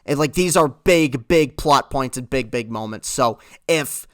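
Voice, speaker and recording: Earnest man with clear voice. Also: medium pitch, no room sound, clean studio sound. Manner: earnest, halting, with repeated words. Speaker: film reviewer. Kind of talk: casual talk-to-camera movie review.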